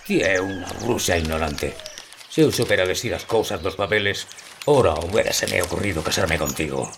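A man's voice: sits low, normal speed, creaky, background noise. A man playing a brutal, contemptuous Portuguese peasant husband of about forty in a radio play, blustering and insulting with a Galician-Portuguese accent.

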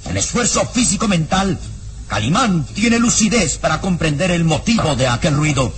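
High-pitched man narrating a suspenseful radio serial. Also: very animated, normal speed. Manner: grave, theatrical, suspenseful. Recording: old radio serial, music behind.

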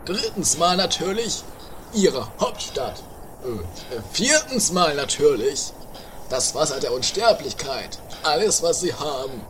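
A man playing an excitable, scheming squabbler with a theatrical, pompous manner in an amateur comedy radio play. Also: on the high side, slow. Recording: noisy 1980s homemade radio play recording.

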